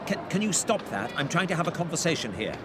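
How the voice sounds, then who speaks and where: A man's voice: fairly high pitch, very animated, fast talker, over a noisy crowd. An actor playing a miserly, irritable businessman, curt and scornful, in a modern audio drama. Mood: irritated. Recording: noisy urban and vehicle ambience behind.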